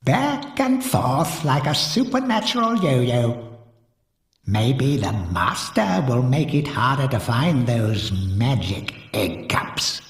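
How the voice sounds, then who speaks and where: An actor playing a dry, sardonic ghost in a game. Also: male voice, mid-range voice, very animated, slow pace. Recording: echoey hall from far off.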